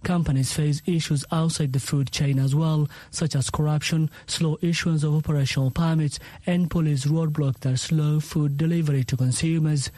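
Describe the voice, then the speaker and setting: A man's voice: on the high side, steady tone, normal speed, hardly any pauses, mellow, no room sound. A man reporting news as a correspondent in a formal way.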